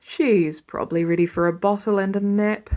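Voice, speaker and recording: A woman voicing a patient, protective father with a sympathetic, gently teasing manner in a narrated story. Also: mid-range voice, steady tone, normal speed, natural voice. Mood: calm.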